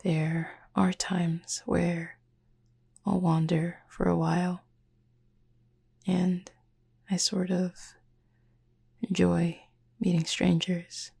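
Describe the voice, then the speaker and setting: Cupid roommate, sleep-aid roleplay, female actor: reserved and devoted, hushed breathy delivery, very even pitch, dark soft tone, measured formal phrasing. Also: full character voice. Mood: calm, reflective. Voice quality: breathy, husky, near-whisper. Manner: measured, formal wording with long pauses.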